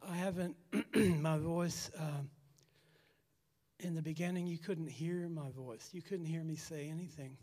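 A raspy-voiced man giving a halting personal testimony to a church congregation, repeating words as he goes. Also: on the high side, steady tone, dark tone. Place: church hall. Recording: long church hall reverb.

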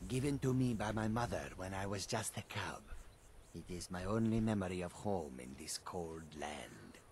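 An actor playing a courteous, wistful cat-man caravan guard in a video game, speaking in a measured, formal way. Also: sits low, animated, a little mellow, slight background noise. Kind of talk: video game character dialogue.

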